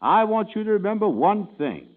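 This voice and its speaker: A man's voice: high-pitched, very animated, normal speed. An actor playing a pompous, fussy insurance salesman in a 1940s radio comedy. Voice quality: pompous, fussy, word-mangling. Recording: tinny old radio broadcast recording.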